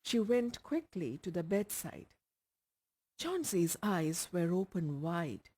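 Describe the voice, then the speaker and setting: Slow, expressive Indian-accented woman narrating. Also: fairly low pitch. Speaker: story reader. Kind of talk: scripted story narration.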